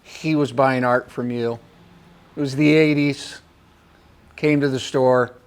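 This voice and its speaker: A man's voice: mid-range voice, steady tone, slow, clear, resonant tone. A man hosting a podcast interview, asking questions in a folksy, friendly way. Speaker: podcast host. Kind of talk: casual interview chat.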